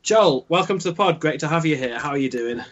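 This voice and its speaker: A man's voice: fairly high pitch, lively intonation, quick pace, fairly bright tone. An English man from Yorkshire hosts a sports chat show with a slightly raised voice and a jokey, self-deprecating way of talking. He fills his sentences with ums and asides. The audio is muffled and lo-fi. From Yorkshire, England. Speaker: podcast host. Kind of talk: casual podcast chat. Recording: dull lo-fi sound.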